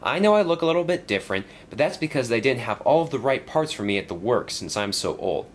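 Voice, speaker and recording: Brief: man voicing an old worried storybook steam engine, pitched high, lively. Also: fast talker. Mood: earnest. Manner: anxious, earnest.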